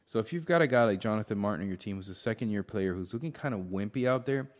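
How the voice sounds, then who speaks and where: A man with a slightly low voice co-hosts a casual sports discussion. He explains his points in a measured, halting manner, with long reverb on the recording. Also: lively intonation. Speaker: sports podcast co-host. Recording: echoey room with long reverb.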